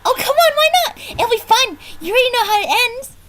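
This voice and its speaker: A woman's voice: very high voice, expressive, a little fast, very noisy. A woman voicing a bouncy, playful, pleading young female cartoon pony in an amateur fan fiction reading. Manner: bouncy, exaggerated, wheedling.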